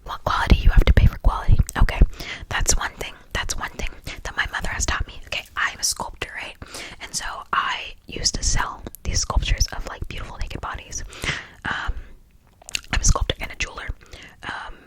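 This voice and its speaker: A video creator speaking in a breathy whisper, casually reacting to stories in a gushing, slangy way with emphatic repetition and rambling asides. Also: moderate pace, poor audio. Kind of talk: whispered ASMR storytelling and reaction, casual chat.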